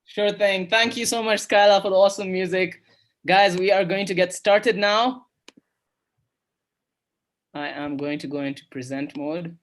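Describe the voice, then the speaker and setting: A man's voice: sits high, unhurried, pauses often, fairly bright tone. A young man raised in Kenya hosting an online coding workshop over a video call, upbeat and chatty with lots of fillers. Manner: upbeat, filler-heavy, repeats "awesome". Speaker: workshop host. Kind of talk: casual online workshop talk.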